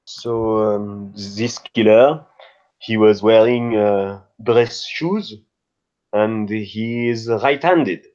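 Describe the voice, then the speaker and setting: A man improvising in character as a player in a mystery game, speaking very haltingly with many hesitations. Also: on the low side, a little mellow, lo-fi sound.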